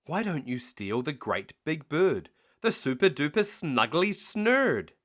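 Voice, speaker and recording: A man's voice: a little high, big pitch swings, slow pace, a bit bright, pitched above the performer's own voice, exaggerated voice. A man voicing a sly female goose from a storybook in a coaxing, persuasive manner. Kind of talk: storybook character voice.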